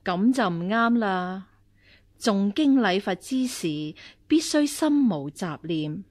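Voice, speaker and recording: An actress playing a stern, commanding Buddhist nun and martial arts master in a radio drama, speaking in an imperious, interrogating way. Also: female voice, mid-range voice, expressive, slow pace, darkish tone. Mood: cool, admonishing. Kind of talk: Cantonese radio drama acting.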